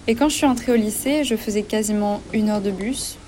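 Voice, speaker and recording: A woman in her twenties from France, with a soft, warm and dark voice, tells her story in calm, measured narration. She moves easily between this scripted storytelling and asking questions as the host. Faint background noise sits under her voice. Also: medium pitch. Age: 20s.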